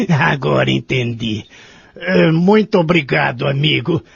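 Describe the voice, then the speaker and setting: Man acting a gravelly, slow-talking lonely old man. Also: fairly high pitch, expressive, no echo, full character voice. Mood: grateful, polite. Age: 80s. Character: lonely 83-year-old widowed care-home resident, proud and resentful. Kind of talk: audio soap narration and dialogue. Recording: dull lo-fi audio with clear noise.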